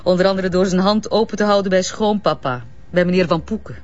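Actress as evasive Flemish businesswoman, slightly low pitch, polished, self-important, hurried, crime drama, somewhat muffled sound. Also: close to an everyday voice. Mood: smooth, evasive. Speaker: actor. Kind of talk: naturalistic crime drama acting.

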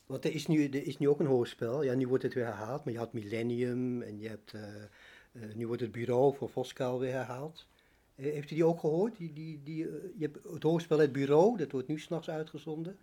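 A man's voice: medium pitch, steady tone, brisk, mellow. A man interviewing in a casual, chatty way, repeating words and offering his own opinions as he goes.

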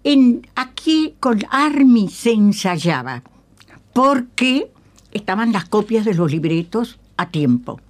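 An older woman with a Uruguayan Spanish accent, reminiscing in a radio interview as an emphatic, halting storyteller who repeats phrases and checks in with tag questions. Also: medium pitch, big pitch swings. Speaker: guest, veteran radio actress. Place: radio studio.

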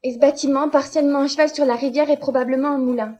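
A woman playing a sharp-tongued young female sidekick in a French science-fiction audio drama, quick and sarcastic. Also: high voice, steady tone, close to an everyday voice.

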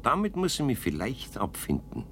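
A man's voice: huge pitch swings, normal speed, a bit bright. A man playing a kindly, long-suffering older master carpenter in a children's radio play, homely and grumbling. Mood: resigned, tender. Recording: faintly noisy 1970s radio recording, rumble.

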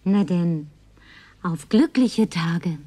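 A woman's voice: lowish voice, expressive, extremely slow, mellow, no echo, full character voice. An actress playing a self-assured, flirtatious woman who likes a drink, in natural German radio play dialogue with a breezy, teasing, commanding manner. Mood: playful, flirtatious.

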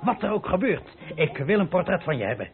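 A man playing an impulsive, warm-hearted friend of a painter in a Dutch radio play, colloquial and insistent. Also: high-pitched, sing-song, a little fast. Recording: muffled old radio recording, faint music.

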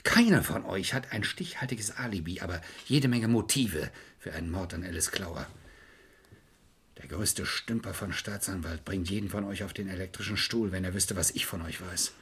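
An actor playing a shrewd, domineering lawyer in a crime radio play, probing and sardonic. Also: male voice, low voice, normal speed, fairly bright tone, slightly rough, exaggerated voice. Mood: scornful anger. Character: shrewd, domineering defence lawyer, scornful and commanding. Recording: old radio drama recording.

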